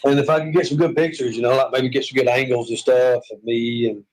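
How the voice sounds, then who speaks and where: A man's voice: mid-range voice, steady tone, fast talker, a little mellow, poor audio. A man with a Southern US accent, chatting and joking in a rambling, self-mocking way from a hospital room.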